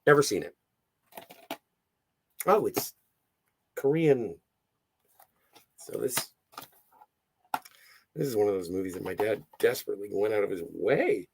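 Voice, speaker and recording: A hoarse man hosting a casual livestream, rambling and easily sidetracked as he chats and reads out viewer comments. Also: on the low side, animated, a little slow, long pauses, a little mellow, a little hiss or hum. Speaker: livestream host.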